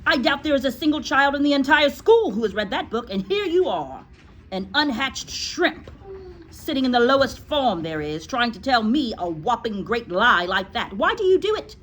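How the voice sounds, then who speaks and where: A woman voicing a cruel, bullying headmistress in a storybook, loud, emphatic, scornful and gloating. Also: a little high, sing-song, moderate pace, a little hiss or hum, voice pushed up. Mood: accusing, furious.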